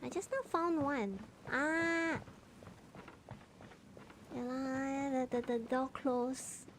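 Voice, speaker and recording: A Malaysian-accented woman with a high voice, livestreaming a game. She chats casually and tosses out short reactions, with faint noise in the background. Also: fairly even intonation, very slow, poor audio. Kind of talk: casual chat and reactions while gaming.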